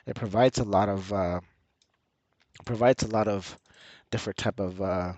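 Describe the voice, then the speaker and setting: A man's voice: on the low side, fairly even intonation, normal speed, darkish tone, no echo, highs cut off. A man with a slight cold, narrating a casual screen-share tutorial in a hesitant, repetitive explaining way. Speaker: channel host and tutorial presenter.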